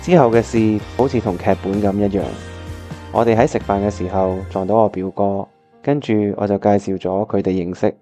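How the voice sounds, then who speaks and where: Man playing heartbroken young pianist, mellow tone, Hong Kong accent, earnest melodramatic storytelling, radio drama, piano music behind. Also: on the low side, fairly even intonation, brisk, lightly acted. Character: lovesick, heartbroken young male pianist hiding his feelings. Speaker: narrator and lead actor in a radio drama. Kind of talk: scripted dramatic first-person narration.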